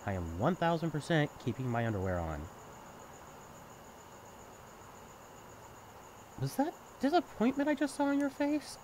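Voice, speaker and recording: A man's voice: on the high side, wildly swooping pitch, fairly quick, darkish tone, slight background noise. A young man acting in an intimate audio roleplay as a sibling's best friend, talking candidly and casually in a caring, teasing way. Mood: playful, teasing. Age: young adult. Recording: night ambience with chirping crickets. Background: crickets and insects, outdoor ambience.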